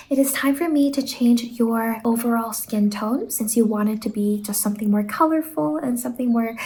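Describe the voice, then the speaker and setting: A woman playing a quirky, doting fantasy salon worker in an ASMR roleplay, speaking softly and slipping in and out of whispers. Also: on the high side, lightly acted. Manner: soft-spoken, drifting in and out of whispers. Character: Mazey, quirky doting female fever-dream salon worker.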